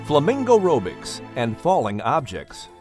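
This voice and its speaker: A man reading a children's audiobook as its narrator, in an animated storytelling style. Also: fairly high pitch, moderate pace, faint music behind.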